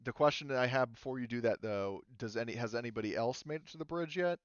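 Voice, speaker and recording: Guy game mastering a tabletop rpg, smooth clean voice, pauses a lot as he makes it up, bit lo-fi. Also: medium pitch, no echo. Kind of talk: narrating a tabletop roleplaying game, improvised.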